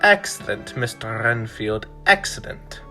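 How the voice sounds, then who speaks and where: A man acts a courtly, sinister vampire lord for a radio play. His delivery is slow and deliberate, with formal, theatrical phrasing. The tone is bright and forceful. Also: mid-range voice, big pitch swings, soft background music, heavily acted. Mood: silky, sinister. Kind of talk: radio drama acting.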